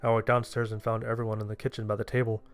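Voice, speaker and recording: A man's voice: on the low side, flat delivery, racing. A man narrating a first-person horror story, playing the main character himself. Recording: music under the voice. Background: music.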